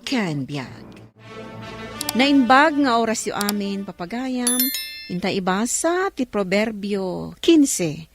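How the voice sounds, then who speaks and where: A woman's voice: medium pitch, no room sound. A woman with an Ilocano accent hosting a radio programme, reading a scripted introduction and scripture. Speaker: programme host.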